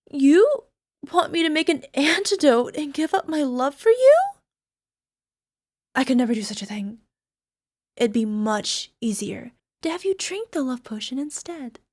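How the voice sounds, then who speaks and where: A woman's voice: high voice, darkish tone, no echo, clean studio sound, exaggerated voice. A woman playing a lovesick, possessive young alchemist in an ASMR girlfriend roleplay, speaking in a coy, coaxing, sweetly menacing way. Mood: hurt, insistent. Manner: coy, coaxing, giggly, tag questions. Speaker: voice actor.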